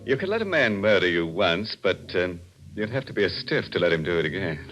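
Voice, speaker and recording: An actor playing a gleeful, ghoulish horror host with sardonic, sinister delivery in 1940s radio style. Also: male voice, deep voice, very animated, a little fast, highs cut off. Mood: sinister playfulness. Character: ghoulish, gleeful male horror host cracking macabre puns. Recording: vintage radio broadcast with music.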